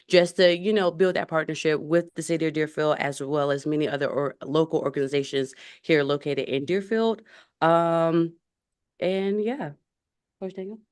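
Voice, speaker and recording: A woman program manager speaking hesitantly, with many pauses, at a city commission meeting. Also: deep voice, clear, resonant tone. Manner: hesitant, filler-heavy.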